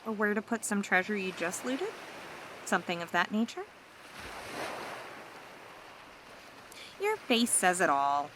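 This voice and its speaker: A woman voicing a seductive, scheming mermaid in an ASMR roleplay, talking in a teasing, flattering, coaxing way. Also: medium pitch, normal speed, noisy background, close to an everyday voice.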